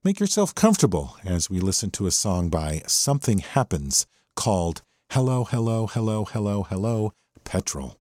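A man's voice: lowish voice, very animated, normal speed, a little mellow, no echo, studio quality. An American man hosting a music podcast, talking through a song with enthusiasm. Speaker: podcast host. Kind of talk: music appreciation podcast commentary.

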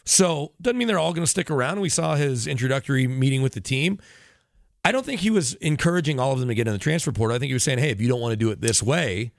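A man's voice: mid-range voice, animated, fast talker, no echo, studio quality. A man talking sports casually as a radio broadcaster guest, explaining in long detail with tangents and self-corrections. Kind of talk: casual sports talk interview.

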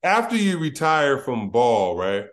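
A man from Los Angeles hosting a casual interview, talking in a laid-back, slangy way with a deep voice and ready laughter. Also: big pitch swings, measured pace. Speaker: podcast host.

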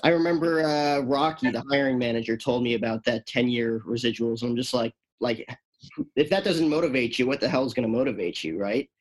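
A man in his early twenties hosting a casual interview, asking loose, rambling questions in a relaxed way. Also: mid-range voice, a little fast, poor audio. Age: 20s. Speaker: podcast host.

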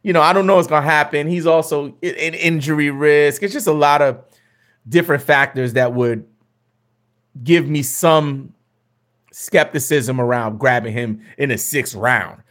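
A young man, slightly hoarse, chatting casually with viewers as he hosts a fantasy basketball mock draft, often repeating himself. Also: fairly high pitch, moderate pace, fairly bright tone.

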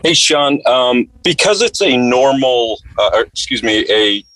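A man's voice: mid-range voice, expressive, a little slow, a bit bright, dry recording, low-quality recording. A male reporter asking a question at a press conference, stumbling and correcting himself.

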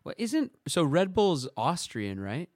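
A man's voice: fairly high pitch, huge pitch swings, slow pace, dry recording, studio quality. A young man chatting casually on a podcast, rambling and laughing often. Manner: rambling, laughs often, does silly voices. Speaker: podcast co-host. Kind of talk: casual chat about motor racing.

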